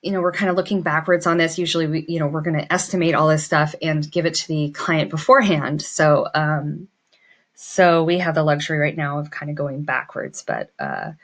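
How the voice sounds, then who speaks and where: A woman with a low-pitched voice leads an informal demo for learners. She talks casually, thinking aloud as she works through each step. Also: fairly quick. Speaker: floral designer and business course instructor. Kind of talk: informal tutorial walkthrough of a pricing demo.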